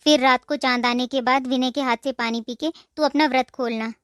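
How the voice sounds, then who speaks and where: A woman voicing a caring middle-aged mother-in-law in a cartoon, speaking in a warm, reassuring, motherly way. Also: sits high, steady tone, brisk, no echo, clean studio sound, close to an everyday voice. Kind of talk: Hindi cartoon dubbing.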